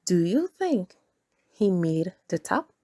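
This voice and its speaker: Lady teacher doing storytime for little kids, real slow, mellow voice, simple phrasing. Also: on the low side, big pitch swings. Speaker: children's teacher and read-aloud host. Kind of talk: children's storybook read-aloud.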